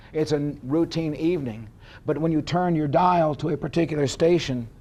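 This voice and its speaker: American man, instructor, voice a little high, informal lecture delivery with deliberate storytelling. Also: mellow. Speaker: history instructor.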